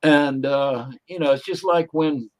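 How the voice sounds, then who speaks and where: A man's voice: fairly high pitch, measured pace. An older man, a demonologist guest in a casual livestream interview, talking in a rambling, folksy way with jokey asides.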